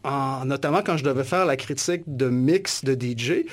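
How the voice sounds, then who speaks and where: A man's voice: medium pitch, normal speed. A man with a Quebec French accent, an author and academic being interviewed, talking thoughtfully and often restarting his sentences. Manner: thoughtful, many restarts and hesitations. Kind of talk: reflective interview talk.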